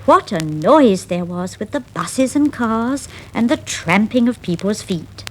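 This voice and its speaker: A woman storyteller reading a children's fable from a 1960s record. She is unhurried, with lively rise and fall and dramatic phrasing, over faint vinyl noise. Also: fairly high pitch, rough recording. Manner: dramatic, expressive, deliberate phrasing. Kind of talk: scripted children's fable narration.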